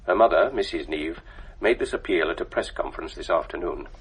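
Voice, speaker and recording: An actor playing a sober English television newsreader in a radio drama, formal and measured. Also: male voice, deep voice, animated, husky, full character voice, rough recording. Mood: grave, formal. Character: sober male television newsreader reporting a missing girl. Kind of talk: scripted news bulletin within radio drama.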